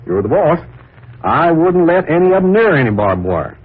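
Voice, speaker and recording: An actor playing a folksy, deferential but insinuating ranch hand in an old radio drama, plain-spoken. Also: male voice, a little high, big pitch swings, moderate pace. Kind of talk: 1940s radio drama acting. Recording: muffled, rough old radio transcription.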